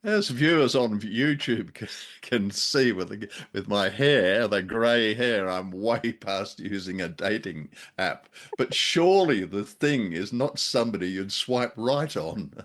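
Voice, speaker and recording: A middle-aged man hosting a show, chatting casually about radio drama in a halting, hesitant way. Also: lowish voice, lively intonation, a bit bright. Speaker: show host.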